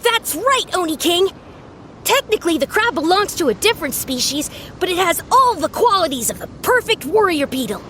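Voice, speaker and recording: A woman's voice: extremely high pitch, sing-song, moderate pace, fairly bright tone, a little hiss or hum, heavily acted. A woman voicing an earnest, self-important little beetle-battle grandmaster in a video game, formal, lecturing and theatrical. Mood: proud, instructive. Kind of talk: animated video game voice acting.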